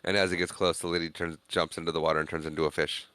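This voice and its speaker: Smooth-voiced man recapping a film. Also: low voice, rapid-fire, no echo, studio quality. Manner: animated storytelling, retells scenes with quoted lines. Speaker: podcast co-host. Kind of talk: casual chat recapping a film.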